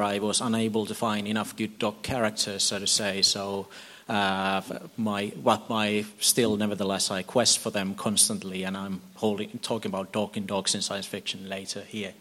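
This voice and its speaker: Academic guy on a panel, low and pretty monotone, big hall reverb. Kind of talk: panel discussion.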